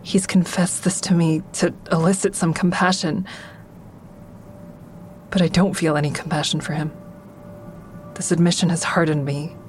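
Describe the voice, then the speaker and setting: An actress playing a traumatized, guarded woman who narrates and speaks in an audio drama with measured, introspective delivery. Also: on the low side, level tone, darkish tone, slight background noise, natural voice. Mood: cold, disillusioned. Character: Haven, traumatized woman survivor narrating, fearful, guarded, hardening. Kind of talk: first-person narration and dialogue in audio drama.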